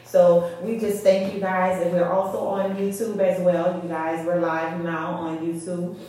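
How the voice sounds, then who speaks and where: A woman's voice: fairly low pitch, level tone, measured pace, warm tone, slight background noise. A woman co-hosting a church service, speaking conversationally as if giving announcements to listeners. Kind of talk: conversational announcements and short affirmations. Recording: very echoey room, distant mic.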